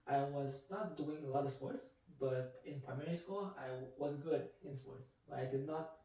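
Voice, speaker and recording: A man in his twenties from Canada, whose first language is Vietnamese, answering interview questions about running in a measured, reflective way. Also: mid-range voice, dark tone. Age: 20s. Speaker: guest, marathon runner. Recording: echoey room, mic from a distance.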